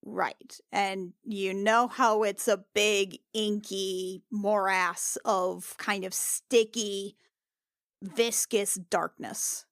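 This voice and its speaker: A woman's voice: medium pitch, fairly even intonation, extremely slow, smooth, dry recording, studio quality. A woman playing a tabletop role-playing game, talking informally and casually in character.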